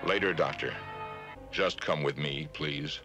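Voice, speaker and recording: A man playing a logical, composed alien starship officer in a cartoon, formal and deadpan. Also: rumbling low, measured pace, soft background music, heavily acted. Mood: composed, stern. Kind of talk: 1970s TV cartoon acting.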